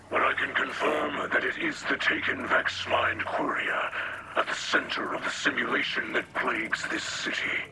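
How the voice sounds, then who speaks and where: Slow, whispery man voicing a grave alien captain. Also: mid-range voice, very noisy, lightly acted. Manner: solemn, formal, deliberate phrasing. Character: grave alien male captain, wary spiritual splicer leader. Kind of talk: video game character dialogue.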